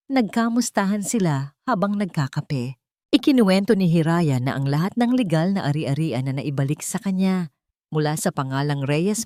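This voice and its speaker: A woman reading a scripted love story as a narrator, in a dramatic, sentimental and expressive way. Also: fairly low pitch, no echo, clean studio sound.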